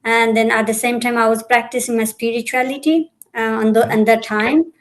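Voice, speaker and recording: A woman's voice: a little high, steady tone, moderate pace. A young woman from Nepal, a podcast guest, answering in a hesitant way with many fillers. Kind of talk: casual interview answers.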